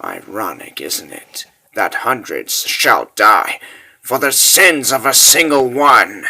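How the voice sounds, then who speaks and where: Man acting a slow, menacing villain monk. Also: medium pitch, sing-song, gravelly, pushed and bright, breathy edge, pitched below the performer's own voice, heavily acted. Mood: cold irony. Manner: solemn, grandiose, deliberate. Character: menacing blue-skinned monk villain, grandiose and vengeful.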